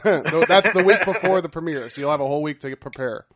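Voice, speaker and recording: A man's voice: a little high, fast, fairly bright tone. A man hosting a podcast, chatting casually, laughing often and swearing loosely. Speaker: podcast host.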